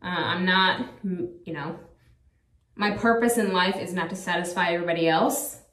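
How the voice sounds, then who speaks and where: A woman's voice: fairly low pitch, normal speed, a bit bright. A woman in her thirties talking casually to her viewers in a rambling, chatty way, full of fillers. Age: 30s. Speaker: vlogger. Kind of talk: casual chat to camera. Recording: echoey room, a bit far from the mic.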